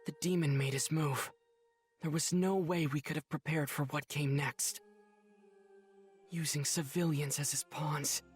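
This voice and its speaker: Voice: mid-range voice, slightly rough. An actor voicing an earnest, resolute young hero, narrating an anime game story with grave determination.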